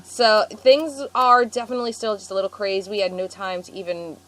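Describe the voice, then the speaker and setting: A woman's voice: medium pitch, steady tone, fairly quick, crowd noise, rough recording. A woman co-hosting a casual podcast, congested from a cold, chatty and often correcting herself. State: congested, sick. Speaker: podcast co-host. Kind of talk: casual chat.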